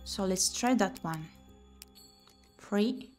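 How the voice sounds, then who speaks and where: A woman's voice: mid-range voice, very slow, darkish tone. A woman streamer playing a visual novel, reading the story text aloud expressively and adding her own asides. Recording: music behind the voice. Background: game music.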